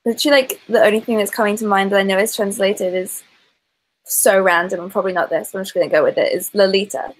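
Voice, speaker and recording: A woman's voice: mid-range voice, fairly even intonation, quick pace, low-quality recording. A woman taking part in an online quiz over a video call, thinking aloud casually and sounding unsure and apologetic. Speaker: quiz contestant.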